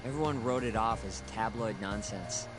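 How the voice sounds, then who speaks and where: A man's voice: on the low side, moderate pace, lightly acted. Male actor as cocky young informant, crime game, easygoing and conversational, music behind. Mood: playful. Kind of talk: video game voice acting, conversational.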